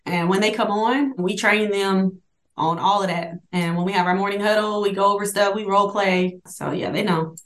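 A middle-aged woman talking as a guest in a casual interview, her voice picking up noticeable echo from the room. Her replies are brisk and affirming, and her pitch sits in an ordinary middle range. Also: steady tone, fairly bright tone. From Hawaii. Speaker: guest, managing broker. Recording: echoey room.

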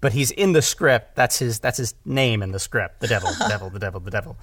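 A man's voice: on the low side, expressive, fast talker, fairly bright tone, breathy edge, dry recording, studio quality. A man talking casually as a podcast guest about his work as a writer and director, in a rambling way, repeating words for emphasis. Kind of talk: casual conversational discussion.

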